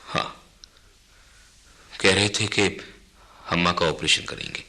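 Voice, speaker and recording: An actor playing a dutiful, resigned young husband and son in a radio drama. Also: male voice, low-pitched, fairly even intonation, slow, bright, forceful tone, full character voice.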